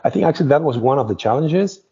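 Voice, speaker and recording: A man's voice: on the high side, expressive, fast, warm tone, slightly rough. A man from Argentina with an Argentine Spanish accent, speaking conversationally as a company co-founder being interviewed, in a discursive way with frequent verbal tags. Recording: dull, muffled lo-fi audio.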